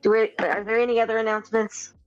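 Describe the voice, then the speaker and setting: A woman co-hosting a casual podcast, halting with many fillers and quick to laugh. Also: fairly high pitch, flat delivery. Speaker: podcast co-host. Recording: dull lo-fi sound with faint music.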